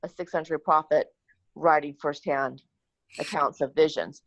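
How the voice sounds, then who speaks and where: A woman's voice: deep voice, fairly even intonation, moderate pace, dry recording, dull audio. A woman taking part in a class, asking questions in a polite, earnest, conversational way.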